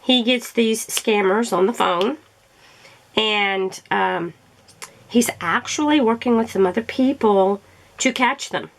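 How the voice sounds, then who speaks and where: Gravelly-voiced woman chatting casually. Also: mid-range voice, slow, a little hiss or hum. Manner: rambling, chatty, self-correcting. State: throat irritated by allergies. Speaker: crafting vlogger. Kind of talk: casual chat while crafting.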